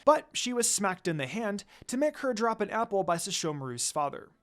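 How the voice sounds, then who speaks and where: A man's voice: high-pitched, sing-song, brisk, a bit bright. A man reading a story aloud as scripted narration. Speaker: fan fiction narrator.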